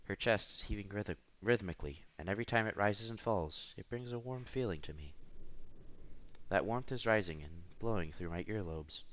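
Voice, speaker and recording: A man's voice: on the low side, lively intonation, moderate pace, a bit bright, muffled recording, slight background noise. A man reading visual novel narration aloud as a streamer, expressively, with casual asides and exclamations.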